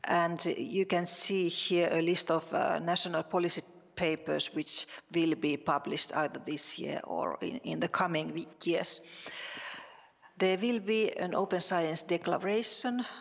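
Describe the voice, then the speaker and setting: A woman from Finland, giving a conference presentation in a halting way with many fillers and restarts. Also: lowish voice, steady tone, gravelly, big-room sound. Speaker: conference speaker.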